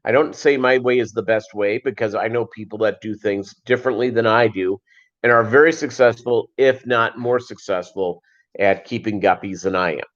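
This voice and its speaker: A man hosting a casual livestream, chatting and answering viewers in a rambling, halting, conversational way. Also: lowish voice. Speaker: livestream host. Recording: dull, lo-fi livestream audio.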